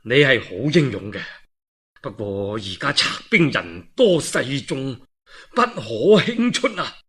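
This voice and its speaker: A man with a Cantonese accent voicing a mild, cautious, gentlemanly governor in a historical story, with a measured manner. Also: medium pitch, very animated, husky, full character voice. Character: mild, cautious male governor besieged by enemies.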